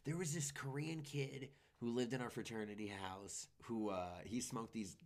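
A man's voice: medium pitch, fairly even intonation, normal speed. A young man and comedian chatting casually on a podcast, rambling and swearing freely as he tells stories. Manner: loose, profane, rambling storyteller. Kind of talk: casual chat.

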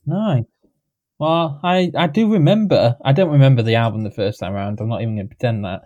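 A man's voice: medium pitch, brisk, mellow, lo-fi sound. Jokey man hosting a podcast. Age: 30s. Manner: jokey, laughs often, rambling. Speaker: podcast host. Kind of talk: casual chat. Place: home, recording remotely.

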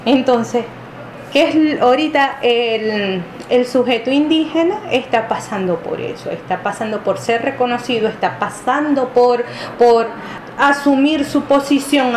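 A woman from Venezuela answers interview questions at length, pausing and restarting as she searches for words. Her voice sits a little high. The recording is roomy, with a clear noise bed behind her. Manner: hesitant, many fillers and restarts. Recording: echoey room with clear background noise.

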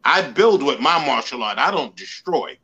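A man's voice: mid-range voice, expressive, moderate pace, pushed and bright, highs cut off. A man in his sixties from the Bronx, a motivational speaker telling his story earnestly in an interview. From New York, the Bronx. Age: 60s. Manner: earnest, emphatic storytelling with repeated slogans. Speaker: guest, motivational speaker and life coach. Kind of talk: interview conversation.

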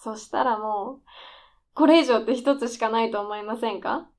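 Radio drama role, played by a woman: would-be female screenwriter at the end of her rope, higher-pitched, needling and defiant. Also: normal speed, lightly acted. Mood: desperate, resolute. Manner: needling, intense, provocative polite speech. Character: desperate, defiant, lazy aspiring female scriptwriter. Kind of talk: radio drama dialogue acting.

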